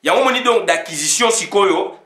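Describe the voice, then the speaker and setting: A man's voice: sits high, animated, normal speed, pushed and bright, echoey room. A man giving an informal political monologue as a commentator, switching between two languages, with many fillers, sometimes reading legal text aloud.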